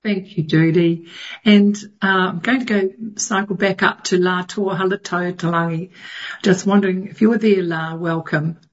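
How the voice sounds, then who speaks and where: A woman's voice: fairly low pitch, steady tone, moderate pace, highs cut off. A woman chairing a meeting, giving brief introductions of each speaker.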